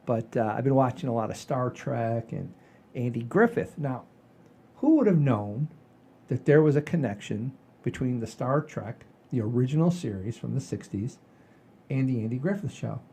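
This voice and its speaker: A man's voice: mid-range voice, lively intonation, moderate pace, mellow, slightly rough. A man in his sixties hosting a casual comedy chat show in a folksy, rambling, enthusiastic way. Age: 60s. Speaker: podcast host.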